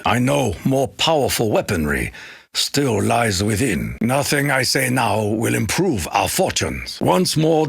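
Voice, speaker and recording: A very raspy, creaky-voiced Japanese warrior for a video game. He speaks accented English in a grave, formal, proud way, as one bound by honor. His pitch swings widely for such a solemn man. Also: a bit bright, dry recording, clean studio sound, heavily acted. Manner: formal, grave, stilted, proverb-laden. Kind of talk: video game character voice acting.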